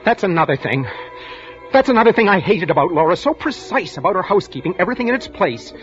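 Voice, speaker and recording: An actor playing a nervous, guilt-ridden husband who narrates his own crime in an intense, halting 1940s radio style. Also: high-pitched, sing-song. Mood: cold, scheming. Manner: intense, haunted, halting delivery. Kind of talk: 1940s radio thriller acting, first-person narration. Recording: muffled vintage radio broadcast, music underneath.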